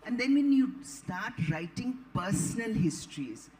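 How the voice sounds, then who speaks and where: A woman in her late seventies with an Indian accent, an author telling anecdotes on a panel in a deliberate, digressive, articulate way. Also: fairly high pitch, sing-song, mellow, low-quality recording. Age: late 70s. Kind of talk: anecdotal storytelling at a live panel.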